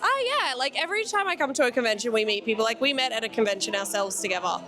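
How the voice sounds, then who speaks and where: Very lively woman being interviewed. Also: on the high side, fast talker, a crowd in the background. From Queensland, Australia. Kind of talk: casual interview chat.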